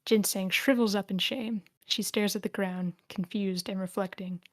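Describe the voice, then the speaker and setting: A woman's voice: mid-range voice, steady tone, normal speed, dry recording. A woman reading stage directions as narrator, in scripted narration at a screenplay table read.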